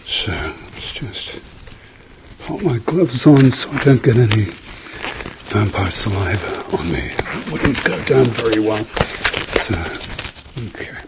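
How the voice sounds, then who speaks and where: A man doing a soft-spoken, often whispered ASMR roleplay as a gentle, reassuring doctor with a dryly playful manner. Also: medium pitch, lively intonation, takes it slowly, background noise. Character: calm, reassuring male doctor treating a patient.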